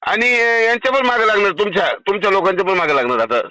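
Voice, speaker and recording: Marathi guy ranting on a phone call, real fast, high voice, swears, pushy. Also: sing-song, bright, forceful tone, dry recording. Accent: Marathi, Maharashtra. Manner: blunt, foul-mouthed, insistent. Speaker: caller making political complaints. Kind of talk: recorded phone conversation.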